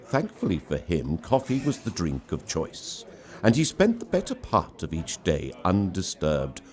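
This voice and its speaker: Male storyteller, low register, unhurried pace, theatrical and expressive narration. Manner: theatrical, dramatic delivery. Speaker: story reader.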